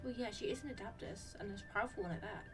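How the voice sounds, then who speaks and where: A woman's voice: mid-range voice, very fast. A woman giving casual reaction commentary as she plays a video game. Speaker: game streamer. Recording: distant mic, game music behind. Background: video game music and dialogue.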